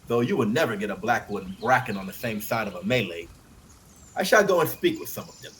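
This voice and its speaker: A man's voice: fairly low pitch, big pitch swings, fairly quick, a bit bright, a little hiss or hum. A man voicing a pompous young knight in an audiobook, boastful and grandly confident. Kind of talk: audiobook character acting.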